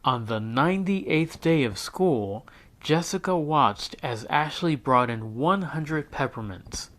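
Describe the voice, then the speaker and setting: Slow, expressive read-aloud man. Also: on the high side. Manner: expressive, unhurried storytelling. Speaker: teacher reading aloud. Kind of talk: children's book read-aloud.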